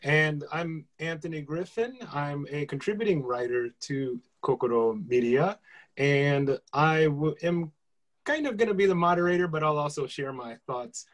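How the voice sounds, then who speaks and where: A man's voice: a little high, moderate pace, low-quality recording. A man, moderating a casual panel and prompting guests with questions. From United States. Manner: friendly, prompting, with some restarts.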